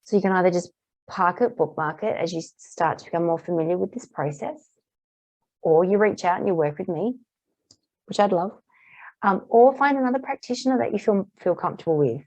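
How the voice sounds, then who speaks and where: Calm, warm-voiced woman guiding a tapping lesson. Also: on the low side, brisk, no echo. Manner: calm, repetitive guiding phrases. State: slightly hoarse, clearing throat. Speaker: EFT tapping practitioner. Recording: dull, muffled dry recording.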